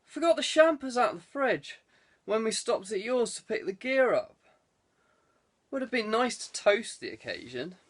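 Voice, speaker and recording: A man's voice: high-pitched, sing-song, measured pace, pitched above the performer's own voice, heavily acted. A man voicing a jaunty, playfully posh ex-cadet saboteur with breezy banter in an audiobook. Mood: wistful teasing.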